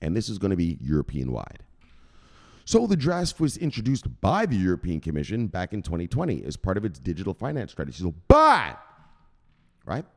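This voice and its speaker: A man with a lowish voice hosts a crypto news commentary and rants through the news in a profane, emphatic way. His pitch swings widely as he punches his points. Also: huge pitch swings, fairly quick. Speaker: crypto news channel host.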